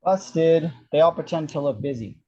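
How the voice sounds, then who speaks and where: A man's voice: fairly high pitch, moderate pace, dark tone. A man reading screenplay action descriptions with a wry manner, on a dull lo-fi microphone. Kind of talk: scripted screenplay narration.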